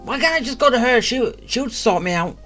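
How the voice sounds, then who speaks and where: A woman's voice: fairly high pitch, expressive, fairly quick, a bit bright. A woman giving casual, sarcastic gameplay commentary as a streamer, grumbling a little, with game music behind.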